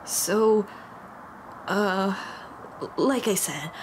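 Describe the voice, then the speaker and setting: A woman's voice: mid-range voice, extremely slow. Woman voicing a nervous, stammering monster girl, noisy ambience. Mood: ashamed, sad. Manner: hesitant, stammering, self-deprecating. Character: nervous, apologetic young female dullahan with a detachable head. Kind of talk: ASMR roleplay, intimate monologue to the listener.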